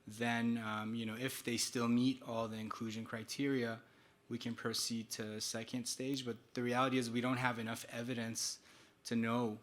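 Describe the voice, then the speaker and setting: A man answering as a clinician on a conference panel, measured and explanatory, with frequent fillers. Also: mid-range voice, fairly bright tone.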